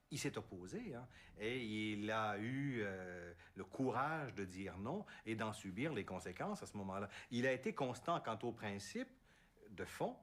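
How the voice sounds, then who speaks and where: Un homme interviewé qui analyse l'histoire d'un ton posé. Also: male voice, on the low side, a bit bright. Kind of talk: conversational explanation.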